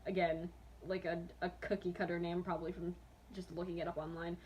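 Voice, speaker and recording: A woman's voice: lowish voice, flat delivery, brisk, a little mellow, a little hiss or hum. A young adult woman hosting a dramatic reading, self-mocking and breaking into amused asides and laughter. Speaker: host reading own old fanfiction.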